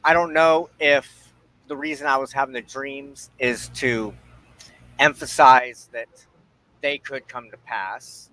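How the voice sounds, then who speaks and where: A man hosting a reflective spiritual discussion, talking in halting, deliberate short phrases. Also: mid-range voice, steady tone, sharp tone, no echo, low-quality recording. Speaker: host of a spiritual discussion show.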